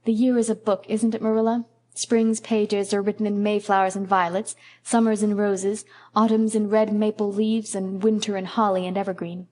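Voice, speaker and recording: A woman voicing a dreamy, imaginative young woman who speaks warmly and poetically. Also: mid-range voice, level tone, moderate pace, hardly any pauses, close to an everyday voice. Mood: sweet.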